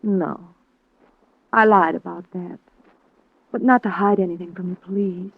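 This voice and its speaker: An actress playing a frightened, evasive female suspect in an old radio crime drama, defensive and halting. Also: lowish voice, fairly even intonation, husky, dry recording. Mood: pleading, evasive. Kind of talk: 1940s-50s radio drama acting. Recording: tinny narrow-band vintage radio recording.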